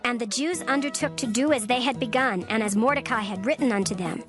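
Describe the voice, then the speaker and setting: A woman narrating scripture in a steady, formal reading with careful pacing. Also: fairly high pitch, a little fast, over music.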